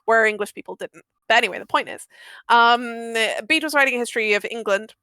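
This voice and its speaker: A woman's voice: fairly high pitch, fairly quick, pushed and bright, clear, resonant tone, no echo. A woman explaining history casually and with great enthusiasm, emphatic and effusive, happy to swear. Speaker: guest audio drama maker.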